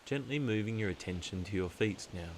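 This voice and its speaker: A man narrating a guided meditation in a calm, soothing, deliberate way, leaving long pauses. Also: on the low side, a little hiss or hum, poor audio.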